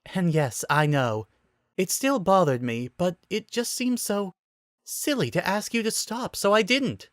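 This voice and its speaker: Man voicing a high-pitched, teasing young songstress. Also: expressive, a little slow, no echo, clean studio sound. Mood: sheepish. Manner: playful, teasing, arch. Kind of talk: dramatic reading of romantic comedy fan fiction.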